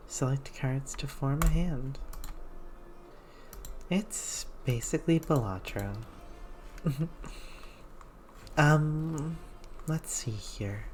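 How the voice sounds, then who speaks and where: A soft-spoken, giggly woman doing an unhurried ASMR game playthrough and reading aloud. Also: extremely low pitch, noisy background. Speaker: streamer playing a game.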